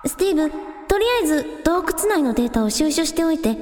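A woman's voice: sits high, expressive, fast, darkish tone, soft background music. A woman playing an earnest, decisive younger sister, a young girl, in a radio drama.

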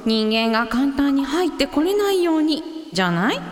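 A woman plays a cheerful mother in a radio drama, casual and encouraging. Her high voice swoops freely up and down, in long reverb over music. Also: sing-song, moderate pace. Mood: casual, motherly. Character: cheerful, practical mother of grown daughters.

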